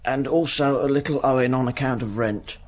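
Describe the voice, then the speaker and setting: An actor playing a meek, poor English porter in a period radio drama, plain-spoken and deferential. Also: male voice, mid-range voice, fairly quick, darkish tone, natural voice. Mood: apologetic. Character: humble, poor, deferential working-class porter.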